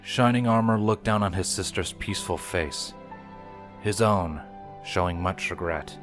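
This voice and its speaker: A man's voice: deep voice, a little slow, music in the background. A man giving a scripted narration of a story as its reader. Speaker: fan fiction narrator and channel host.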